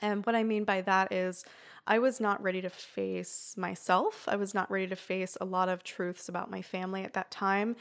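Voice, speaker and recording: A woman in her thirties hosting a solo podcast, talking earnestly and slowly about her spiritual work, with fillers and pauses. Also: medium pitch, little pitch movement, vocal fry. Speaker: podcast host and shamanic practitioner. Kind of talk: reflective solo podcast monologue.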